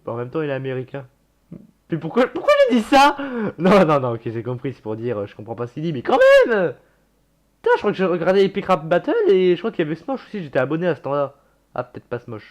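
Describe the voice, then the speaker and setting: A man's voice: on the high side, sing-song, quick pace. A young man casually reacting to his own old videos, chatty and self-mocking, swearing and laughing at himself.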